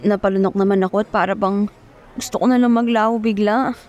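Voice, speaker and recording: A woman's voice: medium pitch, steady tone, brisk, a little mellow. A young woman narrating an audio romance serial in the first person, as a dramatic storyteller. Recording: music bed under the voice.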